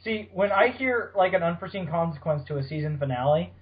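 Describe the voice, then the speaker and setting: Guy on a fanfic podcast, kinda high voice, teasing and sweary, muffled cheap recording. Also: animated, quick pace. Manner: teasing, playfully profane. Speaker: podcast host. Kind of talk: casual panel chat about fan fiction. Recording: dull, lo-fi audio.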